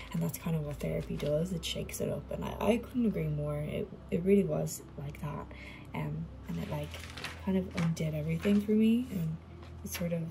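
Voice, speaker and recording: A thirty-year-old woman talking in a relaxed, rambling voice-over, full of "like" and "um", soft-spoken. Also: lowish voice, gravelly, a little hiss or hum, rough recording. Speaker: journal video creator. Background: background music.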